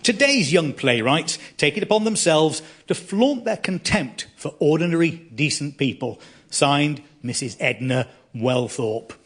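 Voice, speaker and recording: A man's voice: a little high, lively intonation, a little slow, fairly bright tone, slightly rough, heavily acted. A man reading aloud as a censorious, affronted respectable lady writing an indignant letter, live on stage. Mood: prim indignation. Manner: indignant, prim. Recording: live theatre with audience behind.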